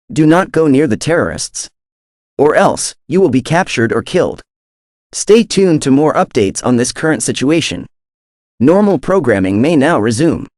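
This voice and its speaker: A man's voice: mid-range voice, darkish tone, no echo, clean studio sound. A synthetic man's voice reading a formal, impersonal emergency broadcast announcement.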